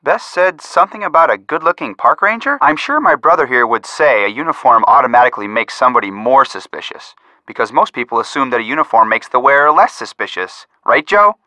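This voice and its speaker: A man voicing an upbeat, sensible young amateur detective in a video game, with an energetic, friendly, gently teasing manner. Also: mid-range voice, brisk, few pauses, no room sound. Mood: keen, teasing.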